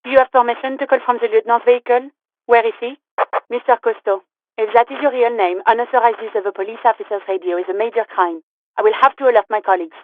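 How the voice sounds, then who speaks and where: A woman's voice: fairly high pitch, fairly even intonation, fast. Tinny radio-filtered female police dispatcher. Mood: stern, suspicious. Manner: formal, courteous, clipped official delivery. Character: polite, businesslike female police dispatcher, patient but dry. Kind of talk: scripted video game voice lines. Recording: tinny, narrow-band two-way radio.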